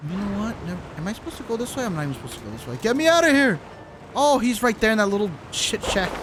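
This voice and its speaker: A streamer giving casual live gameplay commentary, slangy and sweary, thinking out loud. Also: medium pitch, lively intonation, racing, music in the background. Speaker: video game streamer. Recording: noisy, clicks behind the voice.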